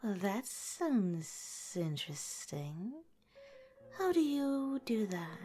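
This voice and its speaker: Woman as a slow, whispery lamia girlfriend. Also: mid-range voice. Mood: curious, amused. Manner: soft, breathy, often whispered, unhurried. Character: gentle, teasing lamia girlfriend, curious about Halloween. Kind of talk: ASMR girlfriend roleplay.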